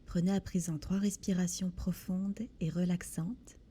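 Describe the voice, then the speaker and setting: A woman leads a guided body scan meditation in a soothing, gentle manner, with deliberate pauses between short phrases. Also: fairly low pitch, little pitch movement. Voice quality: soft, breathy. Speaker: guided relaxation instructor.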